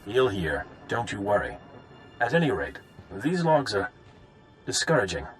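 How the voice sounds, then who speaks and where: A man playing a gruff, loyal, plain-spoken guard of a noble house in a video game. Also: on the low side, very animated, a little slow, fairly bright tone, faint music behind.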